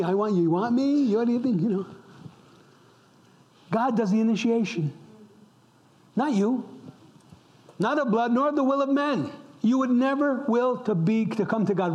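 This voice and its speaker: Middle-aged male preacher from upstate New York, high voice, fervent, repeats himself, slips into hushed whispers. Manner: impassioned, repeats words for emphasis, drops to a whisper at times. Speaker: pastor. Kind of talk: sermon. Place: church.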